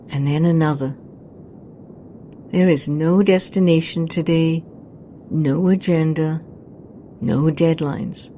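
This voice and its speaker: Woman doing a guided meditation, soft mellow low voice, real slow, bit of rumble behind her. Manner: soothing, hushed, unhurried delivery. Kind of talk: scripted guided meditation narration.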